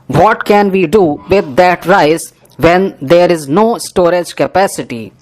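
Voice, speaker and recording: A reader, dictating a parliamentary speech slowly, phrase by phrase, for shorthand practice. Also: mid-range voice. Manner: measured, deliberate phrase-by-phrase reading.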